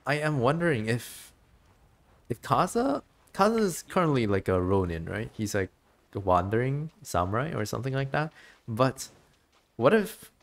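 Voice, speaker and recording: A man's voice: mid-range voice, vocal fry. A man giving casual gameplay commentary as a streamer.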